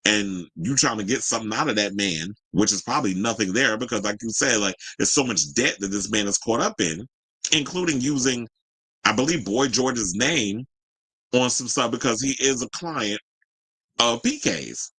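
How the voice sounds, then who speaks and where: Bright-voiced American man dishing gossip. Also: mid-range voice, animated, normal speed, slightly rough, low-quality recording. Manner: sassy, colloquial, exclamatory asides. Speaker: host of a celebrity news and gossip show. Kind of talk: casual gossip commentary mixing read-out news with ad-libbed asides.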